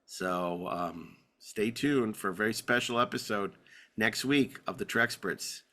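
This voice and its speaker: A man's voice: on the low side, measured pace, gravelly, bright, forceful tone. A man hosting a podcast in a joking, digressive, casual style, bantering with co-hosts and reading out show notes. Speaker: podcast host.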